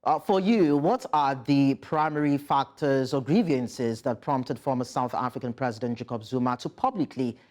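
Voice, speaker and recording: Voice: mid-range voice, darkish tone. A news anchor presenting reports and putting formal questions to a guest in a live interview.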